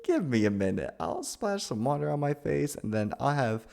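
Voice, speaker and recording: A man performs a sweet, awkward young man in an ASMR boyfriend roleplay. He is nervous and hesitant, often tripping over his words. His pitch is mid-range for a man but moves around in a very animated way. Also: normal speed, vocal fry. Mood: flustered, happy. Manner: hesitant, stammering, flustered.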